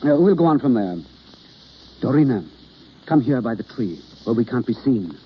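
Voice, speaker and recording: An actor playing an instructive, theatrical understudy coaching a novice in an old-time radio drama, on a noisy, dull vintage recording. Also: male voice, mid-range voice, sing-song, moderate pace, breathy edge. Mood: romantic, declaiming. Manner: instructive, theatrical, hesitant with uhs. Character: male theatre understudy coaching a novice, impatient yet kindly.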